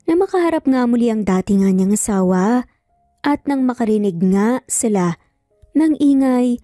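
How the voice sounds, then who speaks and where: Mellow female storyteller over music. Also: on the high side, expressive, normal speed, no room sound, clean studio sound. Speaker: storyteller, narrator. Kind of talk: scripted dramatic story narration. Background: background music.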